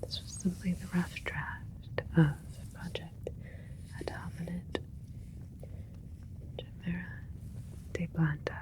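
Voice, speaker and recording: Woman as a whispering, slow-talking alien scientist. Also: on the low side, little pitch movement, darkish tone, poor audio. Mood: soothing, clinical. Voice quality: breathy whisper throughout. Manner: slow, soothing, clinical, careful explaining. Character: gentle alien scientist doctor, proud of a hybrid creation. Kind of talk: whispered ASMR sci-fi roleplay.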